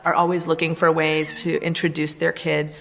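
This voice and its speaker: A woman with a low voice gives a documentary interview. She is earnest and articulate, building long sentences. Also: little pitch movement, normal speed, creaky, a bit bright.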